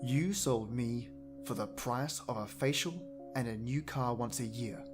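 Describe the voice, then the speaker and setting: Guy acting bitter son, sardonic n cold, super slow deliberate talk, audio drama. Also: medium pitch, music in the background, lightly acted. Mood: cold contempt.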